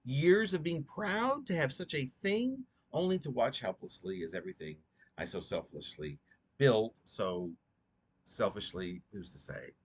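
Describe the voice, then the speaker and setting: A man reading his own poem aloud in an earnest, rhetorical, dramatic recitation with a halting delivery. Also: mid-range voice, expressive, slightly rough.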